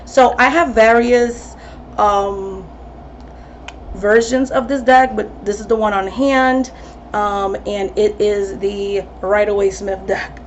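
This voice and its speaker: A woman's voice: mid-range voice, fairly even intonation, slow pace, slight background noise. A woman tarot reader in her thirties talking casually to camera, chatty, with many ums and restarts. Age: 30s. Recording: slightly dull recording with faint ambience.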